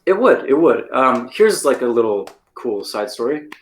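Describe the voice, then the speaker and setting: A man's voice: medium pitch, big pitch swings, moderate pace. An American man co-hosting a podcast, talking casually and telling stories with plenty of "like" and "right?". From United States. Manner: chatty, storytelling, lots of "like" and "right?". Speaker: podcast co-host. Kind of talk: casual chat. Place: lives in Taiwan.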